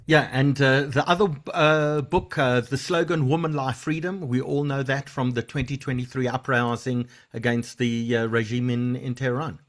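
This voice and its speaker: A man hosts an interview, his voice at an ordinary pitch for a man. He puts his questions casually and trips over his words now and then, restarting his sentences. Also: steady tone, moderate pace, hardly any pauses. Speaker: host.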